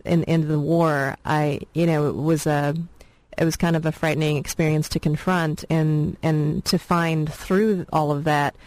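A woman's voice: low voice, little pitch movement, a little slow, darkish tone, no echo, low-quality recording. Reflective woman director, dry studio voice. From Vietnam. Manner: reflective, rambling, many fillers. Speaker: film director guest. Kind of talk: radio interview, personal storytelling.

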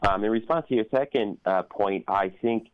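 A man with an American accent giving thoughtful interview answers in a measured, deliberate way with pauses. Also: fairly low pitch, clear, resonant tone, dry recording. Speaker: interview guest, opera performer and activist. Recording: dull, band-limited sound.

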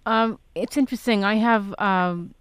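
Woman radio host, from new york city, smooth clear voice, dry studio sound, chatty. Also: mid-range voice, unhurried, no echo. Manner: chatty, friendly questioning. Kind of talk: radio interview.

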